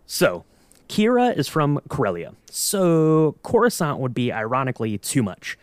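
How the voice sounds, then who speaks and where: A man in casual podcast banter, playful and mock-serious, with an average-pitched voice. He sounds close and dry on the mic. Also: big pitch swings, unhurried, dry recording, faint music behind. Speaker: podcast co-host.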